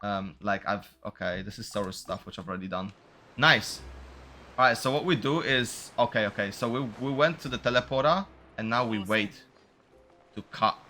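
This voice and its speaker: A man's voice: lowish voice, moderate pace, bright, forceful tone, slight background noise. A man streaming a game, chatting casually and informally with slangy live commentary. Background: game music.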